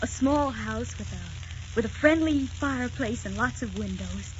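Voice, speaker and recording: An actress playing a tender, devoted young wife in a 1940s radio drama, earnest and romantic. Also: female voice, on the high side, lively intonation, a little slow, slight background noise. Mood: dreamy, tender. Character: tender, devoted, frail twenty-three-year-old wife of a writer. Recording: dull vintage 1940s radio recording, music behind.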